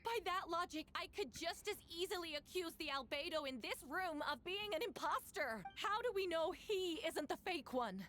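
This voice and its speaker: An actress playing an indignant, accusatory woman knight captain in a game, forceful and dramatic. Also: very high-pitched, expressive, moderate pace, few pauses. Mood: pleading, defensive. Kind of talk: English game voice acting.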